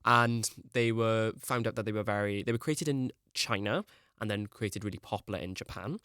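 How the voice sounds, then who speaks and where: Rambling young man, low voice. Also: moderate pace, a bit bright, clean tone, no echo, clean studio sound. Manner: rambling, peppered with "like". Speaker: podcast guest. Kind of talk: casual chat.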